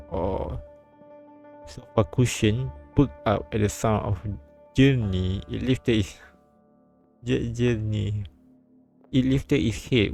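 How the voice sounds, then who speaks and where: A man live streaming, reading a visual novel aloud haltingly with casual comments and stumbles over words. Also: fairly low pitch, vocal fry, darkish tone. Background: light game music.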